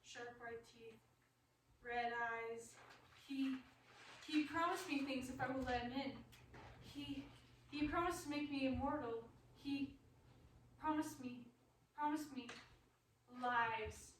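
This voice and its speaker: A woman playing a battered, dying madman in a radio drama, haunted and rambling in halting, obsessive repetitions. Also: sits high. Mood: weak, delirious. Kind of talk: stage-style radio drama acting. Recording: echoey room, distant mic, faint noise.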